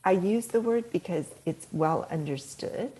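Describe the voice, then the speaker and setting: A middle-aged woman with a soft voice, talking as a podcast guest in an animated, coaching way, laughing often. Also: fairly low pitch, a little slow, low-quality recording. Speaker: guest, author and speaker. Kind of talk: podcast interview talk.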